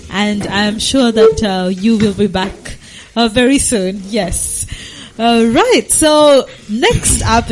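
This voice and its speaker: A woman hosts a radio show politely and brightly. Her pitch is slightly high. Faint noise sits under her voice. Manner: polite and upbeat. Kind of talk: show hosting.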